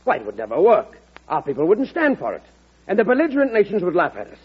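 Insistent, husky cabinet secretary. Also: male voice, high-pitched, huge pitch swings, fast, full character voice. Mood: indignant. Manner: declamatory, formal. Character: indignant, hawkish male cabinet secretary, formal and insistent. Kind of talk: old-time radio drama acting. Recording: dull vintage radio recording.

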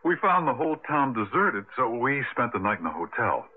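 An actor playing a practical, polite, slightly grumbling young husband in a radio drama. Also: male voice, mid-range voice, very animated, fairly quick, husky. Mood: polite, hesitant. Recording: lo-fi 1980 radio broadcast, noisy.

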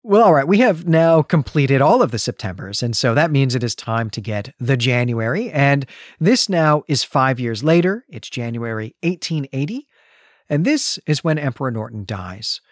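A man's voice: mid-range voice, big pitch swings, moderate pace, no echo, studio quality. A man hosting a literary discussion podcast, talking through a story in a thoughtful, erudite, conversational way with hesitant phrasing. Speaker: podcast host.

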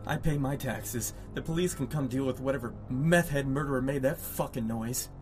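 A man's voice: mid-range voice, normal speed, darkish tone, lightly acted. A man playing a frightened, foul-mouthed ordinary guy in a horror audio drama, muttering and exclaiming to himself. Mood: nervous. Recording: music behind the voice.

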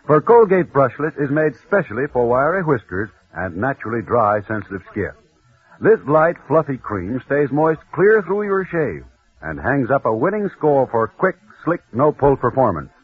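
Punchy American radio pitchman, swooping intonation. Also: slightly rough. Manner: punchy salesman delivery. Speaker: commercial announcer. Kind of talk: scripted 1940s radio advertising read. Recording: muffled, scratchy vintage radio broadcast.

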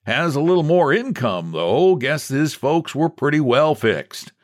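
A man's voice: a little high, lively intonation, unhurried, pitched above the performer's own voice. A man voicing a chatty small-town cashier in an audiobook, gossiping in a folksy, offhand way. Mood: gossipy. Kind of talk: audiobook character dialogue.

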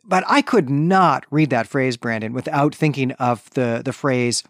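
A man's voice: mid-range voice, measured pace, no room sound. A man speaks as a podcast co-host in a mid-range voice, recorded dry and close. He recaps and comments on a book methodically, signposting his points and wandering into wordy asides.

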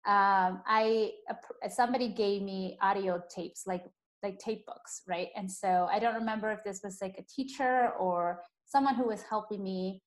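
Woman, young adult, native Spanish speaker, podcast host, casual, filler-heavy talk, mid-pitched. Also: steady tone, moderate pace. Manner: chatty, uses many fillers. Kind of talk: casual conversation.

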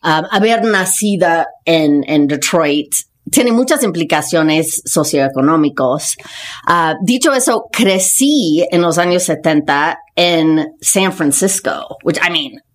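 A lower-pitched woman in her fifties with an American accent tells her life story as a podcast guest. Her tone is casual and frank, full of slang. English phrases slip in because it is her first language. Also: animated, slow. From United States.

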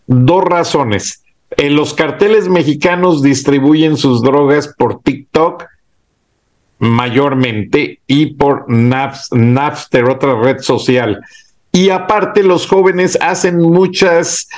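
Explaining male host, slightly high voice. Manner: explanatory, informative. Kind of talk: casual political chat.

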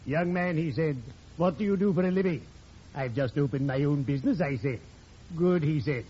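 An actor playing a genial, morbid undertaker in old-time radio comedy, with formal, solemn, deadpan delivery. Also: male voice, fairly high pitch, moderate pace, husky. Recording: very noisy, muffled old radio recording.

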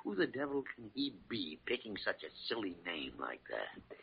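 A gruff old senior doctor in an old radio drama, with a low voice that swings widely in pitch. He grumbles and hems but has a fond streak. The recording is dull vintage broadcast audio. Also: sing-song, moderate pace, heavily acted. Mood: grumbling. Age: older. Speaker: actor in a radio medical drama.

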